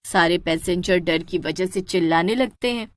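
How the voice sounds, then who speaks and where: A woman's voice: fairly low pitch, normal speed, no echo, clean studio sound. A woman narrating a scripted cartoon horror story.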